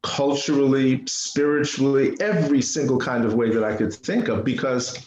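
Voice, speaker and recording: A man's voice: mid-range voice, fairly even intonation, moderate pace, darkish tone, muffled recording, slight background noise. An older man from New City, telling personal stories casually and animatedly, repeating phrases for emphasis. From New York City, United States. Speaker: podcast guest, artist. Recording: roomy, echoing interview sound.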